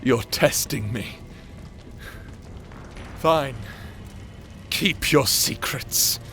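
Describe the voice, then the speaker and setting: A man playing a proud, tormented captor under a curse in a dark fantasy audio roleplay, with a theatrical, anguished and imperious delivery. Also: medium pitch, drawn-out words, faint music behind. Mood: furious.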